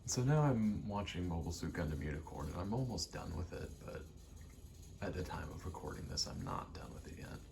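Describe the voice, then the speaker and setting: A soft-spoken man rambling casually in ASMR style, hesitant and pausing often between short phrases. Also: sits low, sing-song, gravelly, noisy background. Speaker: ASMR vlogger and aspiring actor.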